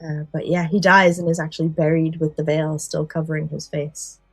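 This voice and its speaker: A woman's voice: sits low, level tone, fairly quick, slightly rough, no room sound, faint music behind. A woman co-hosting a podcast in casual chat, recounting favourite stories and often correcting herself. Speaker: podcast co-host.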